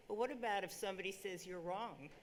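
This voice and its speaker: A woman's voice: mid-range voice, fast talker, a little hiss or hum. A woman moderating a symposium panel, asking questions in a casual, friendly way.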